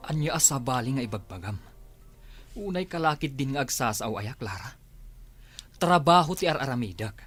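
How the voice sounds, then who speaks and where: A man's voice: mid-range voice, animated, normal speed, long pauses, fairly bright tone, soft background music, natural voice. A man playing an argumentative, fault-finding village husband with an Ilocano accent in a comedy radio drama. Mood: accusing, taunting. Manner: argumentative, taunting.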